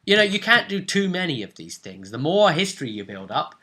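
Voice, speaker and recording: A man's voice: fairly high pitch, very animated, brisk, sharp tone. A man hosting a casual, playful tabletop role-play recap in a jokey, hammy way. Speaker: host and game master recapping a tabletop role-play story. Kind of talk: casual, jokey story recap for a video audience.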